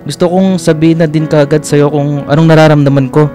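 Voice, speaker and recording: A man's voice: a little high, fairly even intonation, brisk, warm tone, soft background music, natural voice. A young man playing a devoted boyfriend and playful son in a radio drama, sincere and lightly teasing. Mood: earnest, romantic. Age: young adult. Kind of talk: Filipino radio drama acting.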